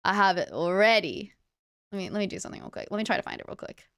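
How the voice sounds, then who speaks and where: A woman chatting casually on a game livestream in a playful, energetic way. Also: medium pitch, fast, clear, resonant tone, no room sound, clean studio sound. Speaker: streamer and VTuber. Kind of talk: casual livestream chat while playing a game.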